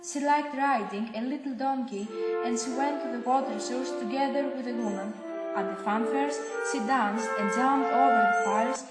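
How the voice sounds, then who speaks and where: A woman's voice: fairly high pitch, normal speed. Woman narrating a fairy tale, echoing hall. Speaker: storyteller in a school project. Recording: echoey hall, loud music behind. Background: loud music.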